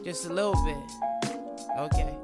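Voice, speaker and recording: A woman's voice: sits low, moderate pace. A woman performing rhythmic spoken-word storytelling as a rapper and poet. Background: loud hip hop music.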